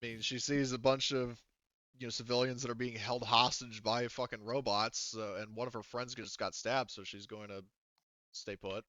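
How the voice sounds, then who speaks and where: Bright-voiced man running a tabletop game. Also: medium pitch, level tone, moderate pace, no room sound, lo-fi sound. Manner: informal, casually profane. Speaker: game master. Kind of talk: narrating a tabletop roleplaying game, casual.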